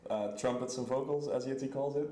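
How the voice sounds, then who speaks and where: A man's voice: medium pitch, steady tone, fairly quick, mellow. A man from Belgium, a DJ chatting casually in an interview. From Antwerp, Belgium. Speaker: DJ and label founder being interviewed. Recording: echoey room with faint background noise.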